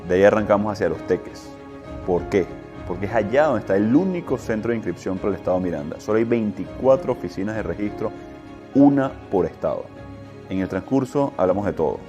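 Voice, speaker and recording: Male reporter, very low voice, measured report narration, light music bed. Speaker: field reporter.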